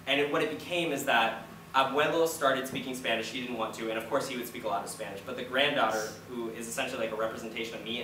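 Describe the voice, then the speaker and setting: Distant, echoey young American man chatting informally. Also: medium pitch. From United States, Florida. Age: early 20s. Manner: rambling, informal. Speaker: student playwright on a discussion panel. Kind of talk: casual panel storytelling and answers. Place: indoor room, public post-show discussion. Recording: very noisy, echoey room, far from mic.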